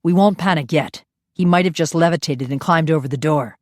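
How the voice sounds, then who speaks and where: A calm, commanding man speaking quietly and steadily, read by a woman narrator in her own low voice. The recording is dry and close. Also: fairly quick, no echo, close to an everyday voice. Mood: steady. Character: commanding husband and father, calm.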